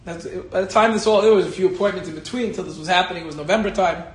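A man, a rabbi, giving an animated Torah lecture and telling a personal story, repeating key phrases for emphasis. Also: high-pitched, racing, reverberant, a little hiss or hum. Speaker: rabbi teaching a Torah class.

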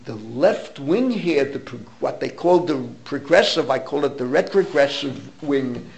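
A man's voice: fairly high pitch, vocal fry, low-quality recording. An older man with an American accent teaching an informal Torah class, emphatic and opinionated, repeating phrases for emphasis and dropping in Hebrew terms. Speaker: rabbi giving a Torah class.

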